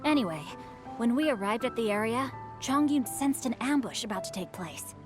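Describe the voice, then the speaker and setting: A woman as a refined, high-voiced young nobleman. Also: expressive, normal speed, music in the background, close to an everyday voice. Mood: confident. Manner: formal, eloquent, theatrical. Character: refined, bookish young nobleman, courteous and self-assured. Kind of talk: video game voice acting.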